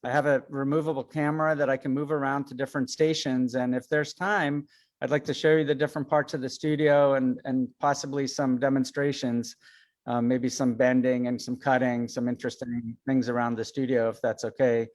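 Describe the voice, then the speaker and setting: A man's voice: mid-range voice, little pitch movement, brisk, a little mellow. A man from Chicago giving a casual lecture over a video call, hesitant with many fillers and self-deprecating. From Chicago, United States.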